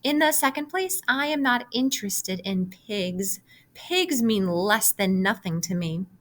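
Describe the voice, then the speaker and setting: A woman's voice: medium pitch, lively intonation, unhurried, a bit bright. A woman voicing a haughty, dismissive young lamb in a storybook, with a curt manner. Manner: snooty, curt.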